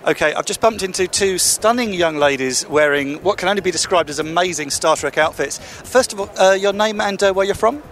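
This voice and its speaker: A man reporting and interviewing fans at a convention in London, chatty and brisk, on a handheld mic. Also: high voice, a bit bright, faint music behind. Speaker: roving reporter. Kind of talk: convention vox pops.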